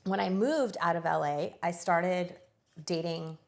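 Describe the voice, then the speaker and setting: A woman's voice: lowish voice, a little slow, creaky. Reflective woman sharing her story. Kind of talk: casual chat.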